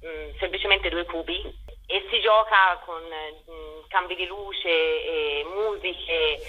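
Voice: medium pitch, slow, breathy edge, dull audio. A young theatre director answering interview questions hesitantly, searching for words as they go.

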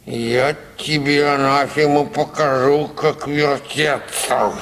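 An actor playing a drunken, blustering, bewildered comic actor in a radio play, slurred and stammering. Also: male voice, fairly high pitch, steady tone, takes it slowly, a bit bright. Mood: drunken menace. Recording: faint background noise.

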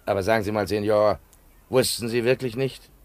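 A man's voice: on the low side, fairly even intonation, fairly quick, natural voice. An actor playing a polite, curious and fussy Spanish harbour policeman in a German radio play, hesitant and courteous. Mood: pondering, fussy.

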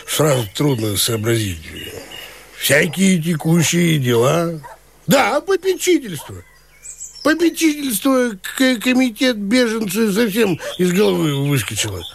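A man's voice: high-pitched, sing-song, measured pace, gravelly, full character voice. A man acting an easygoing, idle provincial brother in a radio play, talking in a folksy, evasive drawl. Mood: evasive, placid.

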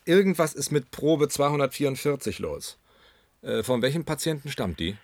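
A man's voice: medium pitch, big pitch swings, moderate pace, darkish tone. An actor playing an ambitious, self-assured male medical researcher in a German radio play, speaking in a wry, enthusiastic conversational way. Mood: puzzled, cautious.

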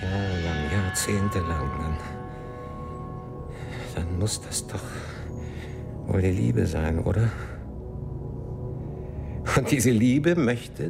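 An actor playing a middle-aged jilted husband, desperate and brooding, speaking haltingly and solemnly in a radio drama. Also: male voice, on the low side, creaky, exaggerated voice.

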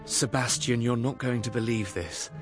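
A man's voice: mid-range voice, moderate pace, lightly acted. An actor playing an earnest, impulsive young Englishman, well-spoken and emotional, in a radio drama. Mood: smitten, excited. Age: young adult. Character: earnest, lovestruck, restless young Englishman, aspiring composer. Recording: music under the voice.

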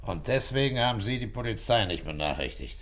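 Calm dogged police commissioner, really low voice, short probing questions, radio drama. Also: male voice. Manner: terse, probing, unhurried. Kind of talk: German radio play acting.

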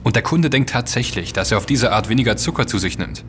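An actor playing a serious, inquisitive, well-read investigator in an audio drama, speaking articulately. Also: male voice, mid-range voice, animated, fast, fairly bright tone, a little hiss or hum, close to an everyday voice. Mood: probing. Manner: articulate, explanatory. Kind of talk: German audio drama dialogue.

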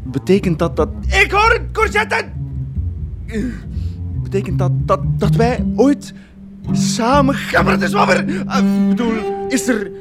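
A man's voice: high voice, big pitch swings, measured pace. A man playing a lovestruck schoolteacher in a comic radio drama, who stammers and blurts out compulsive curses. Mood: explosive cursing. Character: lovestruck male schoolteacher with compulsive bursts of swearing. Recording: music behind the voice.

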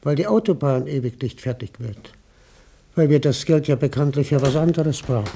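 An actor playing a subservient underling in a radio drama, dutiful and submissive, with a Viennese accent and a husky, muted voice. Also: male voice, a little high, normal speed, dark tone, slight background noise. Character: subservient male underling reporting public grumbling.

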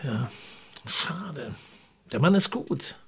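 Slow, husky-voiced wry newspaperman. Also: on the high side, expressive, fairly bright tone, full character voice. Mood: dry, scheming. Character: wry, shrewd male small-town newspaperman. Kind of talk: radio drama acting.

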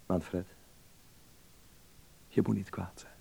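An actor playing a gentle, easily flustered young man in a radio drama, halting and repeating himself. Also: low voice, steady tone, gravelly, breathy edge, full character voice. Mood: subdued, pleading. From Netherlands.

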